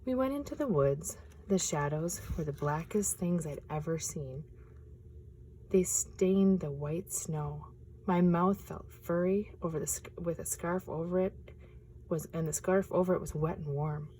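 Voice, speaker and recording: A woman reads a picture book aloud outdoors in a forest, her tone hushed and expressive. Her voice is mellow and sits a little low, and at times she sinks to a whisper. A faint hiss of noise sits under her words. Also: unhurried, rough recording. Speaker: nature preserve storyteller.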